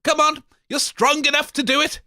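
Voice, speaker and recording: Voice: high voice, flat delivery, normal speed, heavy background noise. Stammering cheeky comedy co-host. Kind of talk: comedy radio show banter.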